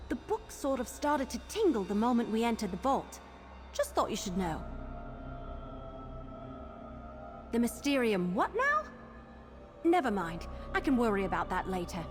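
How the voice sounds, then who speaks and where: Eager high-voiced young adventurer. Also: female voice. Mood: curious. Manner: informal, candid. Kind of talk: scripted fantasy video game acting. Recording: music behind the voice.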